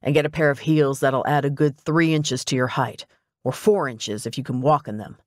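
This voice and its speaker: A gruffly bossy older male detective from an audiobook , as a woman narrator plays him. He speaks low and sure of himself, handing out clipped orders. The sound is close and dry. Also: steady tone, normal speed, no echo. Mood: bossy, matter-of-fact. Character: brusque, self-assured older male detective, bossy mentor.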